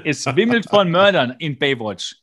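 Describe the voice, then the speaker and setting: A man with a slightly high-pitched voice co-hosts a casual podcast. He retells a TV episode with comic animation, breaking into exasperated, slangy outbursts. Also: big pitch swings, normal speed, a bit bright. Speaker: podcast co-host. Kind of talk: casual comedic episode recap.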